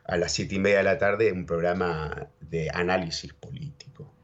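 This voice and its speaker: Argentine guy, real deep voice, rambling storyteller, dull lo-fi sound. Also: fairly even intonation, normal speed. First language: Spanish and English, bilingual. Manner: rambling, repeats words, keeps saying ¿viste?. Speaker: interview guest. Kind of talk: casual storytelling chat. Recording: dull lo-fi audio.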